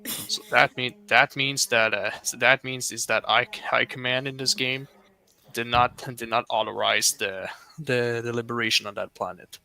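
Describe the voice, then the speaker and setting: A man whose first language is French talks over a home mic on a voice call while playing a game. His voice is gravelly with a sharp, tense brightness, and he explains things earnestly, restarting his sentences often. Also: medium pitch, fairly even intonation, moderate pace, low-quality recording. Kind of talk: casual chat while gaming.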